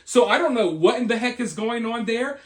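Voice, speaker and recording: A man's voice: very high voice, animated, brisk. A man hosting an opinionated news commentary, speaking emphatically with repeated points and rhetorical questions. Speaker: video host and commentator.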